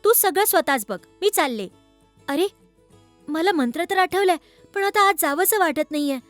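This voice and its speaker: A woman playing an earnest, kindly younger sister with expressive delivery in a children's cartoon. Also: very high voice, normal speed, no echo, soft background music. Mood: annoyed.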